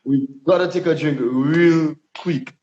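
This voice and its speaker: A man's voice: a little high, slow pace, a little mellow, slight background noise. Laid-back Dominican man in an echoey room. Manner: laid-back, hesitant, thinks aloud. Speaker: DJ guest. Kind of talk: casual interview answers. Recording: echoey room, dull lo-fi sound.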